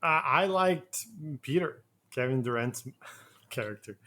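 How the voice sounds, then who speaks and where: A man who speaks English as a second language, chatting about films in an argumentative, animated way as a podcast panelist. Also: medium pitch, unhurried, a little hiss or hum. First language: not English. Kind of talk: casual film chat.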